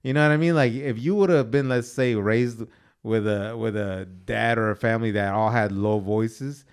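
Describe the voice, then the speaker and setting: A man's voice: fairly low pitch, moderate pace, clear, resonant tone. A young man co-hosting a podcast, teasing and joking in casual chat, with Spanish words mixed in. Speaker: podcast co-host.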